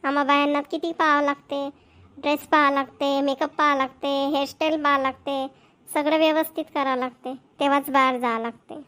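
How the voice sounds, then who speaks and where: A woman's voice: high-pitched, flat delivery, brisk, a bit bright. A woman voicing a chatty, insistent young wife in a Marathi cartoon, with a Maharashtrian accent. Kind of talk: cartoon voice acting.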